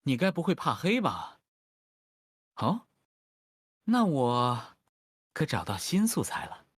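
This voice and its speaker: An actor playing a suave, sly cavalry captain in a fantasy game, teasing and playfully mocking. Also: male voice, fairly high pitch, wildly swooping pitch, slow, slightly rough, no room sound, studio quality, heavily acted.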